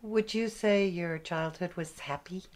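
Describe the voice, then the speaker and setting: Interviewer: woman, notably low voice, directive, short prompting questions. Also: unhurried, darkish tone. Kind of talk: oral history interview.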